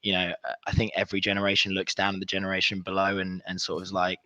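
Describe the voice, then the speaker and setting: A man's voice: sits low, monotone, quick pace, sharp tone, dry recording. A man in his thirties with a cold, speaking as an interview guest in a rambling, casual way with many restarts. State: congested with a cold. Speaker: guest, recruitment business leader.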